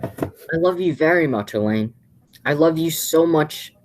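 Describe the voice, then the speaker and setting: A woman's voice: deep voice, expressive, measured pace, a little mellow, poor audio. A teenage girl playing an exasperated young man in an amateur radio play, theatrical and sardonic. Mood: flustered.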